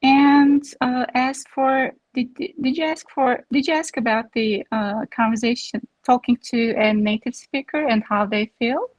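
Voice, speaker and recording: Slow, halting woman presenting research. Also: a little high, steady tone, no echo, rough recording. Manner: hesitant, halting. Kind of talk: answering questions about research at a seminar.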